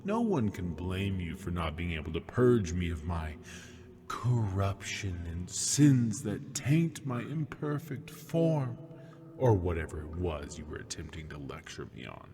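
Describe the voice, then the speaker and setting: A man playing a seductive, taunting demon prisoner in an ASMR roleplay, husky and breathy, teasing in mock-sweet tones and often sinking toward a whisper. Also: low voice, big pitch swings, dark tone, full character voice. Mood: mocking, amused. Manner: slow, teasing, mock-sweet, lingering on words. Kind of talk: ASMR roleplay, scripted monologue. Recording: long hall-like reverb.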